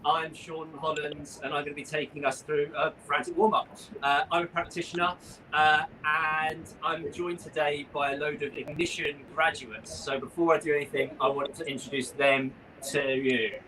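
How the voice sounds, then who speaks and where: Higher-pitched upbeat man leading a warm-up, noisy room. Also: fairly even intonation, normal speed. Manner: upbeat, encouraging. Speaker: practitioner leading a warm-up class. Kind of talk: live exercise instruction. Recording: noisy room sound, far from mic.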